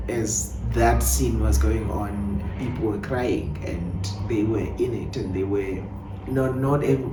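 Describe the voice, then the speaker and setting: A South African man giving conversational interview answers as a playwright and director, telling things in an animated storytelling way. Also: medium pitch. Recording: echoey room, distant mic, clear background noise.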